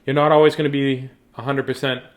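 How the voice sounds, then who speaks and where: A man's voice: medium pitch, flat delivery, fast talker. A man hosting a live bedtime reading, chatting casually in a rambling, self-deprecating way. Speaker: bedtime story reader and host. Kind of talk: casual live chat to viewers.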